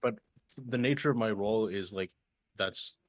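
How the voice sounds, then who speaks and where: A man in his twenties with a fairly low voice talks casually among friends. His delivery is loose and slangy, dotted with swearing. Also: normal speed. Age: 20s.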